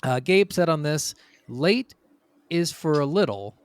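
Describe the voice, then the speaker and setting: A man's voice: fairly high pitch, expressive, a little slow, smooth. A man hosting a gaming podcast, telling stories in an informal, conversational way and swearing freely. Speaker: podcast host. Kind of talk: casual podcast storytelling about video games.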